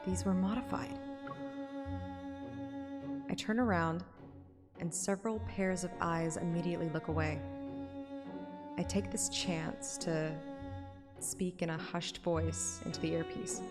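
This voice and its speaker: A woman's voice: lowish voice, steady tone, measured pace, long pauses. A woman streamer narrating a game story in an audiobook style, with casual asides. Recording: reverberant, music under the voice.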